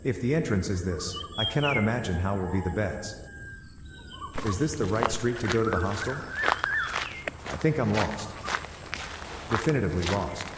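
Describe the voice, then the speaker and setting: A man's voice: fairly low pitch, animated, moderate pace, pauses often, echoey room, big-room sound, very noisy, natural voice. A man who speaks English as a second language, voicing a hapless young traveller muttering to himself in a story. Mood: doubtful, lost. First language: not English.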